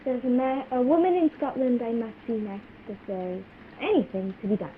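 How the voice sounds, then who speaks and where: A woman playing a frightened, secretive spy in an old-time radio play, halting and dramatic. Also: fairly high pitch, natural voice. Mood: uneasy. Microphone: video call. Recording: muffled, noisy video call audio.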